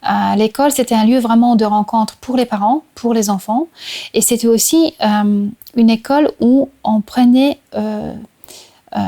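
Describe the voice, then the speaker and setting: Creaky, mellow woman reminiscing. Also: fairly high pitch. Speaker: Polish school organiser. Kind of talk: interview reminiscence.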